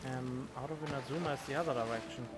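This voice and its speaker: A man's voice: medium pitch, fast. Offhand male streamer over game music. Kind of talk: casual game commentary.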